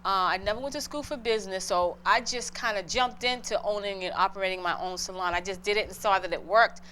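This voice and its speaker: A woman's voice: mid-range voice, fast, fairly bright tone, a little hiss or hum. A middle-aged woman from Boston, a guest entrepreneur earnestly telling her own story in an interview.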